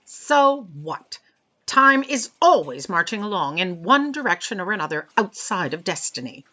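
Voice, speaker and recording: A woman's voice: mid-range voice, very animated, normal speed, pushed and bright. A woman playing a bossy, pompous, dismissive office boss in a comedic audio drama. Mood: exasperated. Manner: imperious, dismissive. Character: bossy, pompous female boss of Destiny's bureau.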